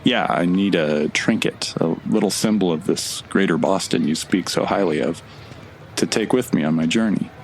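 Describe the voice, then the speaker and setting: A blunt, sardonic male wanderer in an audio drama, with a fairly low voice. His talk is casual and wry, over faint outdoor ambience. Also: moderate pace, a little hiss or hum. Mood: wry, resigned.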